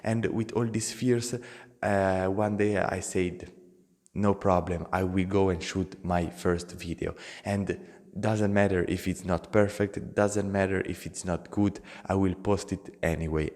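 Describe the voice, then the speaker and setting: A man's voice: deep voice, darkish tone, in a large hall. A young man with an Italian accent, talking earnestly to camera as a motivational channel host, in simple non-native phrasing.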